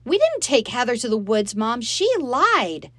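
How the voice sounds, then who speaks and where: A woman's voice: sits high, expressive, normal speed, fairly bright tone, no room sound, pitched above the performer's own voice. A woman voicing a young girl who defends herself earnestly to her parents, in a storybook reading. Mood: defensive, pleading.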